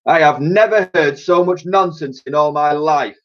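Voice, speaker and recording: A man voicing a pompous, bossy museum head of security, booming his words in a storybook reading. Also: normal speed, natural voice. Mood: exasperated. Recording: dull lo-fi recording.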